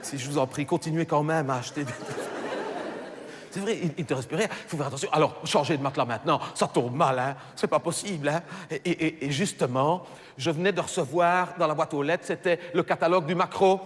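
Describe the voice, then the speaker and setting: A man's voice: a little high, fairly quick, long reverb tail, lightly acted. A man voicing a chatty middle-aged housewife with a Belgian French accent, rambling and stammering through self-corrections in a stand-up monologue. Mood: gossipy. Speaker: comedian.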